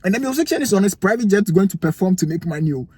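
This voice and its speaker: Husky Ghanaian man preaching to camera. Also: high voice, animated, very fast, a little mellow, no echo, studio quality. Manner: emphatic, preachy, asks rhetorical questions. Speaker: vlogger giving life advice. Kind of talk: motivational talk to camera. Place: cold room indoors, near a heater.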